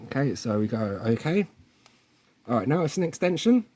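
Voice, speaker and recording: A man's voice: medium pitch, big pitch swings, moderate pace, dark tone. A man presenting a casual hands-on tech tutorial in an informal way, often checking in with the viewer. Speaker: presenter of installation tutorial videos.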